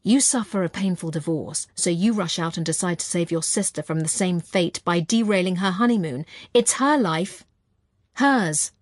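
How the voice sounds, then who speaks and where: A woman's voice: lowish voice, moderate pace, no echo, studio quality, lightly acted. A woman voicing a blunt, exasperated, protective man in an audiobook, confrontational and indignant in manner. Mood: reproachful.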